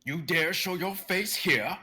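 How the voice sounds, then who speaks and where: A man's voice: sits high, takes it slowly, sharp tone, full character voice. A man playing a weathered, scrawny old ex-doctor, furious and accusing, in a live script reading. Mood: bitter.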